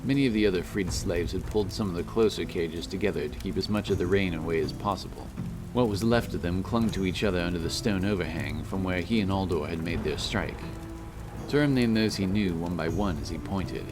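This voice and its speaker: A man's voice: sits low, brisk. A man reading scripted fantasy narration as an audiobook storyteller. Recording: loud music under the voice.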